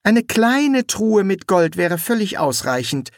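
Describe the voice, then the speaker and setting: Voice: mid-range voice, sing-song, slow pace, no room sound, studio quality, voice pushed up. A narrator voicing an eager, gullible young male demonologist who makes demands and then pleads wistfully. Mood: pleading. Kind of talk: audiobook reading.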